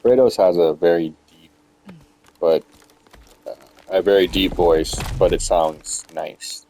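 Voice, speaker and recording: A man's voice: low voice, slow pace, dark tone, slight background noise, rough recording. A young man casually commenting on a video game as he plays, rambling with lots of hesitant fillers. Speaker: gamer streaming a playthrough.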